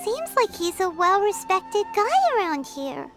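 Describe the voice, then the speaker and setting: A woman voicing a tiny, excitable floating girl companion in a game dub, chirpy and quick to exclaim. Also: very high-pitched, heavily acted. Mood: curious. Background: game music.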